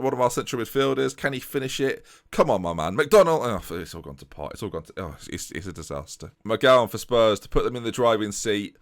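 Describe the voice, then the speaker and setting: A young man giving chatty, excitable commentary over a video game, often tripping over his words and joking at himself. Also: medium pitch, gravelly. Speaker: gaming YouTuber. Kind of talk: casual let's-play commentary.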